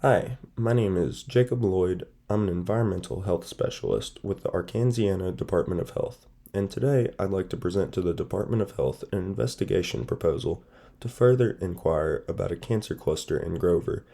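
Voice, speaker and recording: A young man with a stuffy, nasal voice from a sinus infection, reading a formal class presentation in a measured, steady way. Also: sits low, gravelly. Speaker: student presenter.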